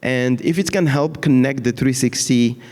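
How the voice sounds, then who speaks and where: Male panelist, low-to-middle everyday register, halting unscripted delivery, recorded in an echoey hall. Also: a little mellow. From Morocco and France. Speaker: panelist, data science leader in healthcare. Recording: echoey hall with long reverb.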